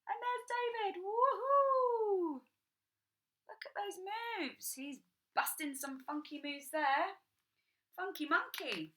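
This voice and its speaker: A woman reading a picture book aloud to children, sing-song and animated, dropping into whispers for little asides. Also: very high-pitched, slow. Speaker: children's storyteller.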